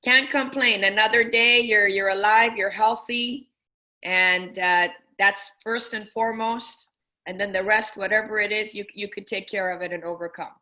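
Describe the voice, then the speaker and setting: A woman's voice: medium pitch, steady tone, moderate pace, pushed and bright, poor audio. A middle-aged woman business coach talking earnestly in an interview, with many restarts. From Persian family. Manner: earnest, full of restarts and fillers. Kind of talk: casual interview talk.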